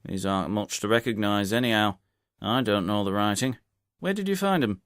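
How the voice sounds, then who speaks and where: A man voicing a blunt, hot-tempered Victorian pub landlord in an audiobook, with gruff, colloquial speech. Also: on the low side, normal speed, no room sound, clean studio sound, lightly acted. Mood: blunt, helpful.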